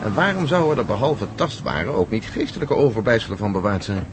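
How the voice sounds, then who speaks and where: A man's voice: fairly low pitch, big pitch swings, fairly quick. A man acting in a radio drama as a curious, wry friend, speaking conversationally with dry teasing. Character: Stevens, curious, wry male friend of an astronaut. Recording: noisy radio play, vehicle hum.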